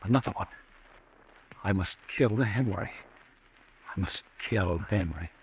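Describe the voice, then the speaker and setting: An actor playing a haunted, nervous businessman who fears himself, in agitated, halting, melodramatic 1940s radio style. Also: sits low, slight background noise, rough recording. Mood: anxious, muttering. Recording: old radio broadcast recording.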